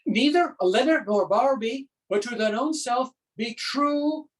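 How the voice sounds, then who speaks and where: Woman doing a panicky accountant stuck onstage in a play, highish voice, nervous rambling, mic far away. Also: expressive, a little slow. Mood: grasping, reciting. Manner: rambling, nervous, stammering asides. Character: flustered panicking accountant stranded onstage as a Shakespearean actor. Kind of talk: theatrical stage monologue.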